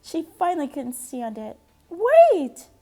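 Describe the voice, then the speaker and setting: A woman narrating a children's picture book like a librarian, with deliberate, dramatic phrasing. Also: fairly high pitch, expressive, warm tone, slightly rough. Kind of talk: expressive children's storybook narration.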